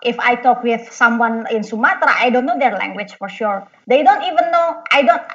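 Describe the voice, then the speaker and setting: Indonesian lady professor answering qs on a webinar, highish voice, sounds a bit far off, halting english. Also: a bit bright. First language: Indonesian or Javanese. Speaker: professor, guest webinar speaker. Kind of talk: informal explanatory answers in a webinar. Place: online video call. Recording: dull, distant webinar microphone.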